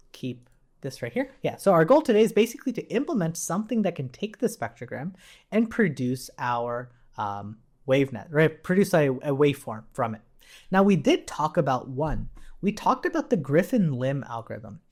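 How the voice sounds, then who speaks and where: A man's voice: a little high, a little mellow. A man giving an informal technical tutorial in a conversational way, often tagging statements with a questioning check. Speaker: instructor.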